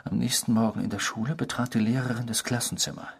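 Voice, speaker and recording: A man's voice: mid-range voice, fairly even intonation, fast, gravelly, breathy edge. An actor in a German radio drama playing an intrusive, eerie man who philosophizes and confesses with pompous, formal politeness. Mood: confessional, eerie.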